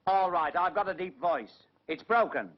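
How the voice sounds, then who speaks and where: A cheeky little wisecracking joker. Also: male voice, high-pitched, lively intonation, normal speed. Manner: cheeky, quipping, playful wordplay. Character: diminutive wisecracking male agency co-owner. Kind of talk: 1940s film comedy acting. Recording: dull old film soundtrack.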